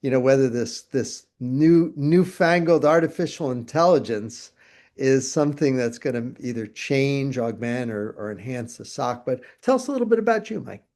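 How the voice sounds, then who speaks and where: A man's voice: mid-range voice, animated, moderate pace, a little mellow. A man hosting an interview, asking long, rambling questions in a friendly conversational way. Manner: chatty, rambling questions with restarts. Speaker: interviewer, host.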